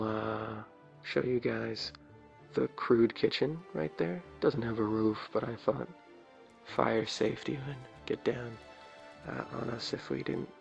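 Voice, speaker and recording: A man with a low raspy voice giving rambling, playful ASMR commentary as he plays a video game. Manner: rambling, playful, jokingly self-important. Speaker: game streamer. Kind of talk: ASMR let's play commentary.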